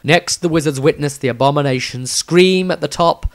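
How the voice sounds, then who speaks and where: A man reading his own fantasy story as scripted narration, with dramatic, deliberate phrasing. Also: on the high side.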